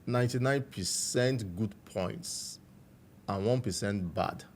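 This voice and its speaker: Low-voiced Nigerian man telling his story. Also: slight background noise. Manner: measured, warm storytelling with frequent "you know". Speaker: guest. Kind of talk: reflective interview storytelling.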